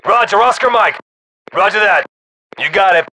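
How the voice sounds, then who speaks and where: A man playing a tense, tough special forces squadmate in a game, with clipped, forceful callouts. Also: high-pitched, expressive, normal speed, exaggerated voice. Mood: focused. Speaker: soldier in squad. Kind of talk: military game combat callouts.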